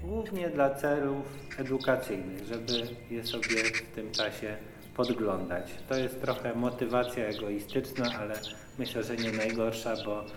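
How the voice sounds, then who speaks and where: A man gives an informal explanatory talk in a measured way, pausing between phrases. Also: medium pitch, background noise. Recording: echoey reverberant space with music behind.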